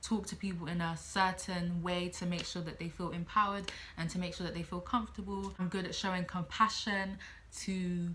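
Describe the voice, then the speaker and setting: A woman in her late teens speaks in a bright, crisp-edged voice pitched a little low. She sounds like a student vlogger giving casual advice, chatting freely, thinking aloud and circling back over her points. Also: little pitch movement, moderate pace, a little hiss or hum. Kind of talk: casual advice vlog, talking to camera.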